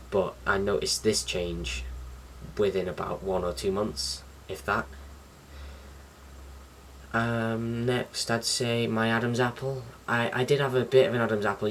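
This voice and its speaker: A young man talking casually to camera as a vlogger, in an informal, slightly hesitant and rambling way. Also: deep voice. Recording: distant mic with faint background noise.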